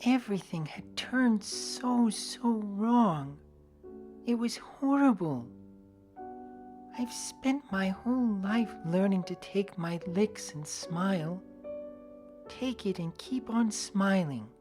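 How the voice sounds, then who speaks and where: A woman's voice: mid-range voice, lively intonation, slow pace, music in the background. A woman narrating in the first person as an earnest adult pony mare who works the weather, reading fan fiction expressively. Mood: distressed, then subdued.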